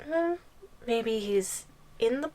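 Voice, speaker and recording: Slow, high-voiced yandere giantess acted by a woman. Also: lively intonation, dark tone, slight background noise. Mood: playful, hunting. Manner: sing-song taunting with giggles and drawn-out threats. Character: possessive giantess girlfriend, playful, menacing, gleefully taunting. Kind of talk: ASMR audio roleplay acting.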